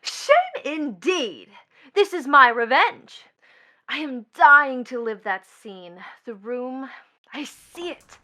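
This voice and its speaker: A woman's voice: a little high, sharp tone. A woman playing a bitter, mocking young stepdaughter in a stage drama, with a taunting, theatrical delivery. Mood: vengeful, excited. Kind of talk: theatrical stage play acting.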